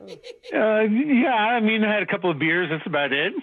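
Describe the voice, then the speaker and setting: A man's voice: sits high, big pitch swings, normal speed. A man talking casually on the telephone in a hesitant, sheepish manner. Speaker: person called on radio show. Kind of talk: casual phone conversation. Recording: tinny, dry phone line.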